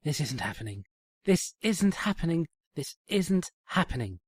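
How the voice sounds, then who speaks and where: A man voicing a highly strung young female pony princess in a fan fiction reading, going from muttering to shrieking. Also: big pitch swings, measured pace, slightly rough, no echo, studio quality, heavily acted. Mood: distraught muttering.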